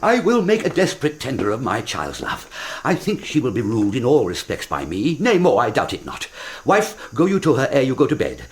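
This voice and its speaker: Impulsive, blustering older nobleman, rambling, exclamatory, hugely animated pitch, radio Shakespeare. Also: fairly quick, hardly any pauses. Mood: brisk, scheming.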